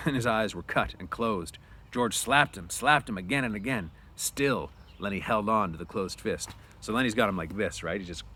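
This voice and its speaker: A man's voice: on the low side, bright, forceful tone, a little hiss or hum. A man narrating a story aloud in an informal way, with casual asides.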